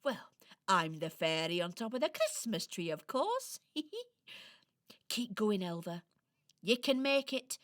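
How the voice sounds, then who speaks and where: A woman playing a kind, encouraging Christmas tree fairy in a children's radio play, gentle, motherly and reassuring. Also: medium pitch, big pitch swings, slow pace. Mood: tender, encouraging.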